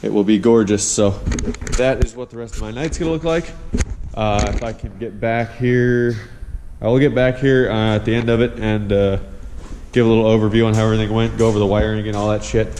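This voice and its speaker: Young man, congested nasal voice, halting profane rambling, slight hiss, reverberant workshop. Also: fairly low pitch, fairly even intonation. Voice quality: nasal, plugged-up. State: congested, stuffed-up nose from allergies. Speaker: motorcycle DIY vlogger. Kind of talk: casual garage how-to walkthrough. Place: home garage workshop.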